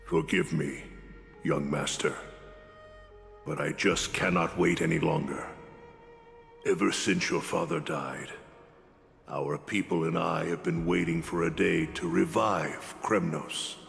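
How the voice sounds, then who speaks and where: An actor playing a stern, proud older warrior mentor in a video game, speaking gravely and formally. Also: male voice, sits low, fairly even intonation, takes it slowly, pauses often, fairly bright tone, full character voice. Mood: solemn pleading. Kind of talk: dramatic video game acting. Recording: long hall reverb under music.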